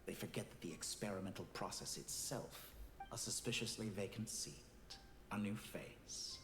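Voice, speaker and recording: An actor playing a cold, arrogant scientist villain in a video game, speaking in a measured, condescending, philosophical way. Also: male voice, fairly low pitch, steady tone, a bit bright, roomy sound, a little hiss or hum, natural voice. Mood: calm, calculating. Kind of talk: scripted video game dialogue.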